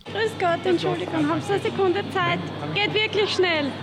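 A woman's voice: very high voice, loud music behind the voice. A woman teacher politely stops passersby on a town square to ask them questions for radio. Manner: polite, brisk street questioning. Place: outdoors on a busy town square. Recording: crowd noise on a busy square.

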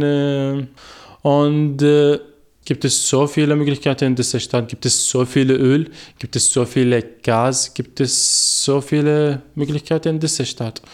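Man, twenties, from Iraq, halting learner's German, frequent fillers, radio interview guest. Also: mid-range voice, darkish tone, clear, resonant tone. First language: Arabic. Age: 20s. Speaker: guest, former journalist.

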